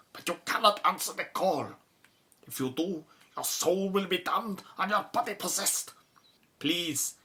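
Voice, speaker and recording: Actor doing a formal doom-warning official in a radio play, urgent and precise, forceful edge to the voice. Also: medium pitch. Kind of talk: audio drama acting.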